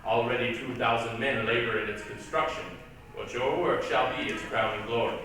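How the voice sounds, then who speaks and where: An imperious prince of the church played by a man hands down orders in a mid-pitched, animated voice. He is pompous, impatient and clipped, in the manner of stage drama. The sound is distant and very echoey, with faint noise. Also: normal speed, lightly acted. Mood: stately command. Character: imperious, impatient high churchman commanding an artist. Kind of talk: theatrical readers' theatre dialogue. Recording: echoey hall, distant mic, hissy old tape.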